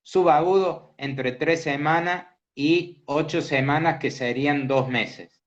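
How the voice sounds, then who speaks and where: A man teaching a medical class, explaining slowly and asking students questions. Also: a little high. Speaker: medical lecturer. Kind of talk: interactive lecture. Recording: dull lo-fi class recording.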